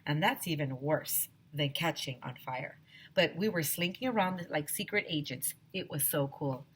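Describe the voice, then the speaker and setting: A woman teacher reading a children's story aloud, expressively, slowing down for emphasis. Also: low voice, slightly rough.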